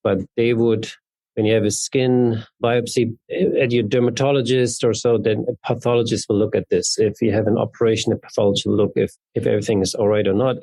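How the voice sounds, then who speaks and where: A man's voice: fairly low pitch, flat delivery, brisk, mellow, no room sound, poor audio. A man in his fifties with a German accent, giving conversational answers as an interview guest. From East Berlin, Germany. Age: 50s. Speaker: interview guest, neurologist and genetics researcher.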